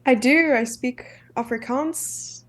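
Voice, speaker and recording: A young South African woman with a South African accent, chatting casually while co-commentating a chess broadcast. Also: a little high. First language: English. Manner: chatty, self-deprecating, quick asides.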